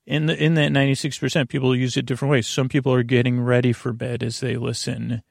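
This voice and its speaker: A man hosting a bedtime podcast in a rambling, halting monologue, circling back and losing his thread, with a creaky, gravelly voice. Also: medium pitch, little pitch movement, no echo, studio quality. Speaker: podcast host. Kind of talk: rambling bedtime monologue to help listeners sleep.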